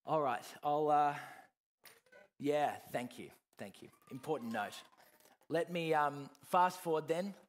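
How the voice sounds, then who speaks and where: A fairly high-voiced man delivering a personal testimony from the pulpit. He talks earnestly, pressing hard on key words and then dropping into quiet, low reflection. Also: takes it slowly. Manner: earnest, swings from loud emphasis to soft, low reflection. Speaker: preacher giving his testimony.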